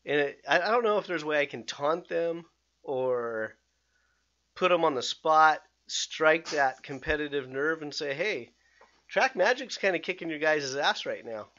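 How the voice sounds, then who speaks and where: Podcast host guy, kinda high voice, rambly and fired up, bit muffled audio. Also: unhurried. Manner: rambling, excitable, many restarts and fillers. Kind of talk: casual podcast chat. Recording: slightly dull lo-fi sound.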